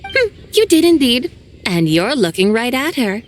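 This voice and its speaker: A calm, aloof woman in disguise talks in a composed, archly formal way. Her voice sits at a middle pitch but moves expressively. Faint music plays underneath. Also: unhurried. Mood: teasing. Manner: composed and archly formal, calls others little one. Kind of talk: game voice acting.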